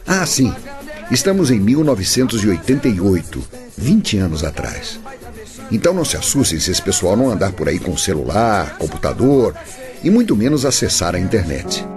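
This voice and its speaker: A man's voice: lowish voice, sing-song, normal speed. A man with a Brazilian accent giving playful scripted narration for a comedic radio drama. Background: music.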